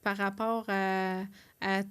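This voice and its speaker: A woman's voice: mid-range voice, level tone, slow pace, a bit bright, smooth. A woman with a Quebec French accent, a podcast guest giving casual, slightly hesitant advice through everyday examples. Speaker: podcast guest, community support worker. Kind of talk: casual explanatory chat.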